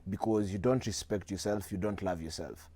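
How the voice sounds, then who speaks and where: A man's voice: deep voice, mellow, slightly rough, dry recording. A nineteen-year-old man with a Kenyan accent, a guest speaking earnestly and steadily in a studio interview. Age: teens. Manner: earnest, measured, mixing in Swahili slang.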